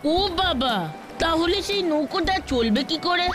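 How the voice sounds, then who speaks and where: A small, naive village boy for a kids' cartoon. The high voice sounds wide-eyed and questioning over clear background music. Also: expressive, unhurried. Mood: amazed, curious. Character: naive, easily amazed little village boy. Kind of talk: children's cartoon dubbing. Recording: music behind the voice.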